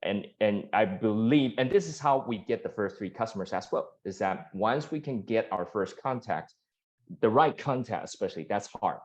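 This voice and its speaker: A man from Taiwan, a startup founder answering investor questions earnestly, with frequent restarts and fillers. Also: normal speed. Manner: earnest, many restarts and fillers, rises in pitch when animated. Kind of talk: pitch Q&A answers. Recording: dull lo-fi sound.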